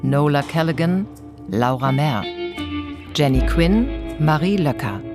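A woman's voice: low-pitched, animated, slow pace, over music. A woman announcer giving a scripted reading of radio drama credits.

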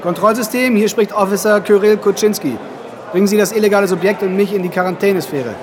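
An actor playing a stern, by-the-book police officer in a radio drama, with a clipped official manner. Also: male voice, high-pitched, fast talker, crowd noise, natural voice. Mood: commanding.

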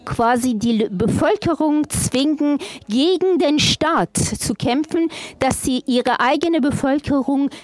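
A woman from Afghanistan speaking at a panel, impassioned and halting, often breaking off and restarting her sentences. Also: high-pitched, no room sound. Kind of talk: public panel statement.

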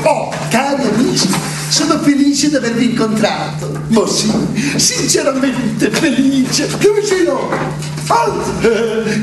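An actor playing Pozzo, a pompous landowner who barks orders and holds forth theatrically on a stage play. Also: male voice, very high voice, exaggerated voice. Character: pompous blustering landowner Pozzo, middle-aged man. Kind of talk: stage play recorded live. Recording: roomy stage from far off, with music.

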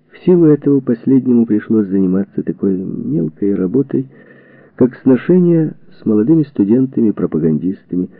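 A man's voice: fairly low pitch, vocal fry, no echo. Man narrating through narrow, phone-like sound. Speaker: audiobook narrator. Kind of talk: scripted narration of a historical book. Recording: dull phone-like narrow-band sound, dry.

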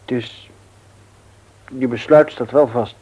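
An actor playing an earnest, disappointed suitor who speaks haltingly in a radio drama. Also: male voice, medium pitch, steady tone, slightly rough, highs cut off. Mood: resigned, sad. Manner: halting, hesitant. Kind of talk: intimate radio drama dialogue.

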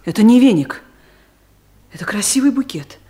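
A woman's voice: fairly high pitch, lively intonation, moderate pace, warm tone, lightly acted. An actress playing a stern, easily offended middle-aged female director, curt and commanding, in a Soviet radio comedy. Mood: probing, accusing.

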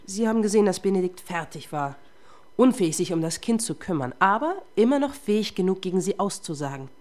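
A woman's voice: mid-range voice, expressive, fast, a little mellow, lightly acted. A woman playing a tough, probing police inspector in a crime radio play, speaking in a pointed and controlled way. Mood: accusing, insistent. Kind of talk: German crime radio drama acting.

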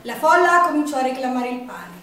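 A woman's voice: sits high, sing-song, brisk, sharp tone, heavily acted. A woman performing a theatrical narration in a declamatory way. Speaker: stage performer. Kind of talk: theatrical storytelling on the wartime Resistance. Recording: very echoey stage, distant mic.

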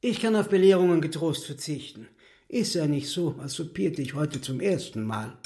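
An actor playing a pompous, easily offended talking tomcat in a radio play, speaking in an affected, courtly way. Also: male voice, fairly high pitch, lively intonation, normal speed, warm tone. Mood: huffy. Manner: affected, indignant, mock-courtly. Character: huffy, pompous talking tomcat, a demon's sidekick.

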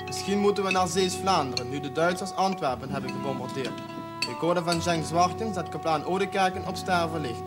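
An actor playing a villager who reads a letter aloud in a television drama. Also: male voice, fairly high pitch, brisk, natural voice. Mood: plain reading. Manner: reading aloud. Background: music.

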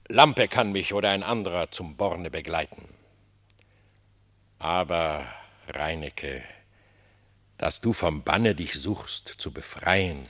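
Dignified beast king, very low voice, unhurried, solemn, wary, radio-play verse. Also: a bit bright, heavily acted. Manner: measured, solemn, regal. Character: stern, suspicious, dignified male animal king. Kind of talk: German radio drama verse acting.

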